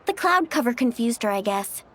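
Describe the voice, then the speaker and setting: Actress as a high, breezy young travel guide. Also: female voice, animated, moderate pace, soft background music, close to an everyday voice. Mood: amused. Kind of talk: animated game voice acting.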